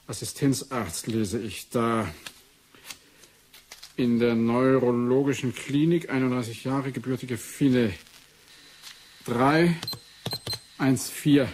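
An actor playing a businesslike senior official who muses aloud over a case in a radio drama. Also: male voice, mid-range voice, slow pace, long pauses, a little mellow, slight background noise, close to an everyday voice. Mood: musing. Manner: musing, businesslike. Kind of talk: 1980s German radio drama acting.

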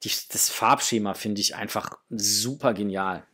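A man's voice: on the low side, unhurried, pushed and bright, slightly rough. A man, chatting informally and enthusiastically as he presents a casual unboxing review at home. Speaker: toy review channel host. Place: small home room, indoors.

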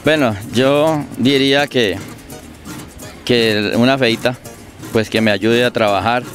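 A man's voice: medium pitch, slow pace, faint music behind. A middle-aged man with a Colombian accent giving casual interview answers outdoors as a musician guest. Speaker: musician guest, singer-songwriter. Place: outdoors in a park. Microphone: handheld interview microphone held by host.

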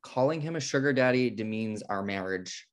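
A man's voice: fairly low pitch, animated, normal speed, natural voice. A man playing a smart, fussy, neat-freak twin brother with a dry, prim manner in a comedy table read. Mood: exasperated. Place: home room, video call.

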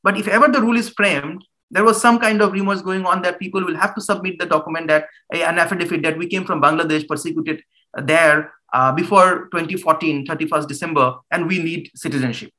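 A man's voice: a little high, a little fast, low-quality recording. A man in his thirties with an Indian English accent, giving an earnest talk as a human rights lawyer and pressing the listener with pointed questions. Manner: animated hand gestures. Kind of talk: public talk. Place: small room at home, indoors.

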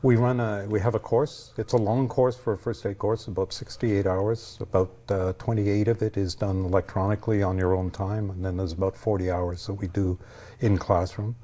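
Male, sixties, interview guest explaining his organization. Deep, relaxed tone. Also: normal speed. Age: 60s. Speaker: ski patrol leader, interview guest. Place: TV studio, indoors. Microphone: lapel mic.

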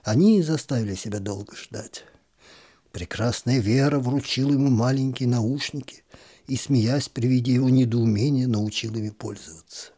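A man reading a literary short story aloud in a calm, even storytelling manner, now and then dropping to a whisper. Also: mid-range voice, moderate pace, breathy edge. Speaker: narrator.

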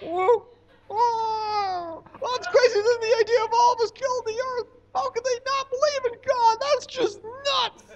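A tiny, extremely high-pitched puppet child, speaks with wide-eyed astonishment. The character is naive and eager, performed for a comic live puppet show. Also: male voice, fairly even intonation, measured pace, muffled recording. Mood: astonished. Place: small stage in a bar, live event.

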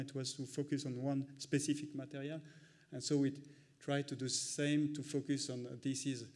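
Halting man presenting architectural work. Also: medium pitch, darkish tone. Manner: halting, many uh fillers, non-native phrasing. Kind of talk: project presentation.

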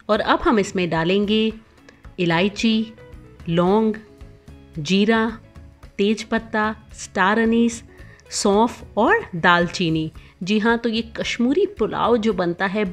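A woman, host of a cooking channel, narrating a recipe voice-over with clear step-by-step instructions. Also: mid-range voice.

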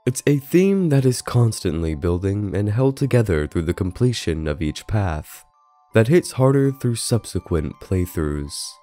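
Male essay narrator, low and soft-toned, scripted and measured delivery, faint music behind. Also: big pitch swings. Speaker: video essay narrator and reviewer. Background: background music.

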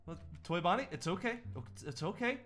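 A man playing an upbeat young night guard who coaxes and reassures, then protests loudly when hit, in a Minecraft roleplay. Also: high voice, soft background music. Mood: coaxing. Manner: reassuring, excitable, quick to protest.

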